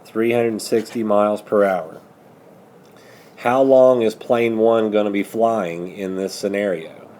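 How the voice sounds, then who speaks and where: A man's voice: fairly low pitch, steady tone, takes it slowly, a little mellow. A man working methodically through a math lesson as a teacher, patiently prompting students with questions. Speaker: high school algebra teacher.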